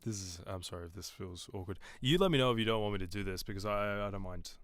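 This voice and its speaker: A man with a lot of bass in his voice, chatting casually on a live stream and giving feedback with loose phrasing and plenty of fillers. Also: fast talker, a bit bright, no echo, soft background music. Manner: rambling, loose, with many fillers and restarts.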